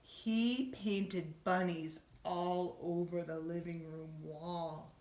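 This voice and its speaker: A woman with a slightly low voice reads a children's storybook in a roomy, echoing space. She is expressive and speaks directly to young listeners, gently putting questions to them as a teacher would. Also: extremely slow. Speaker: schoolteacher reading a bedtime story. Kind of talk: children's storybook read-aloud with asides to listeners. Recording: roomy, echoey room sound.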